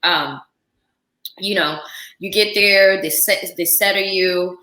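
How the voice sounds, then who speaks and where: A woman from Africa, a guest chef telling stories in a lively, laughing casual chat. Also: mid-range voice, slow pace, echoey room. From Central Africa. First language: French. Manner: lively storytelling with frequent laughter.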